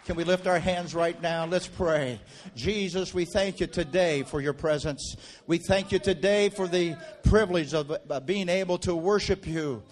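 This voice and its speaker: A man preaching a sermon in a church. He tells stories quietly, then leads loud, fervent prayer. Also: high voice, moderate pace, hardly any pauses. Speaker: guest preacher.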